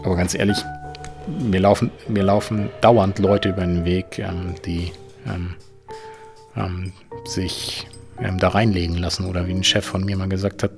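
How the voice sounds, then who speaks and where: A man with a low, gravelly voice explains things informally as a financial expert. He often hesitates with filler sounds. Soft music plays faintly behind him. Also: steady tone, moderate pace.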